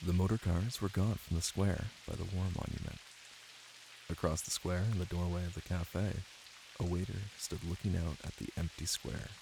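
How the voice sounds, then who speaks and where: Man playing a deep, gravelly-voiced caring boyfriend, ASMR. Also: steady tone, normal speed, noisy background. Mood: tender, calm. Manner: tender, teasing, close and cozy. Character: caring, playful young boyfriend reading to his partner. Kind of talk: intimate ASMR roleplay and bedtime story reading. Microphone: close microphone. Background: rain ambience.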